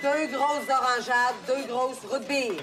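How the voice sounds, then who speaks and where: An actor voicing a garbled drive-thru intercom, reading orders back in a flat, repetitive way through a crackly speaker. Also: high-pitched, takes it slowly. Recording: noisy intercom sound.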